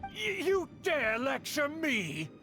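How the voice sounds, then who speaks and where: A haughty noble uncle from a fantasy game, pitched high and swinging widely as he declaims. He is indignant, pompous and theatrical, with music playing underneath. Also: huge pitch swings, takes it slowly, sharp tone, heavily acted. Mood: outraged. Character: haughty, outraged aristocratic uncle of a fallen clan. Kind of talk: video game voice acting.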